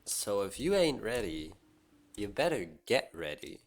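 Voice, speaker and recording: Male streamer, lowish voice, leisurely delivery, casual thinking-aloud game commentary over faint music. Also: big pitch swings, a little slow. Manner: talks to chat and muses aloud to himself.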